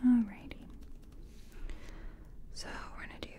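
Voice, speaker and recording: A woman doing soft-spoken ASMR roleplay as a makeup competition contestant, half-whispering in a hesitant, chatty, self-effacing way. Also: a little slow.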